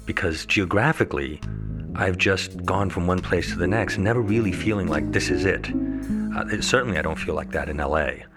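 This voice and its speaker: Low-voiced middle-aged guy thinking out loud in an interview, stops and restarts, loud guitar under him. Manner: thoughtful, halting, restarts mid-thought. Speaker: documentary interviewee. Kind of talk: reflective personal interview. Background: loud guitar music.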